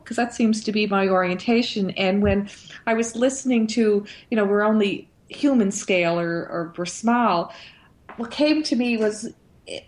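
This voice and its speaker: A woman speaking as a panelist in a conversational discussion, reflective and earnest. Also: medium pitch. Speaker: talk show panelist.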